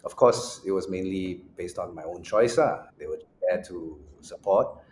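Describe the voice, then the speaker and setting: Man, 40s, very low voice, measured and reflective, roomy echo, faint background noise. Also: a little mellow. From Malaysia. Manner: measured and reflective, lays out points in order. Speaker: guest, music school founder. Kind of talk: casual interview conversation.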